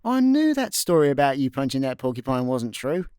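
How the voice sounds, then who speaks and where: A man's voice: medium pitch, a little fast, smooth, no echo, clean studio sound, close to an everyday voice. Man as sci-fi layabout, sarky, bemused, voice swings up n down, radio play. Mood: sarcastic. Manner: deadpan, bemused, sarcastic. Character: sardonic, hapless young male space layabout.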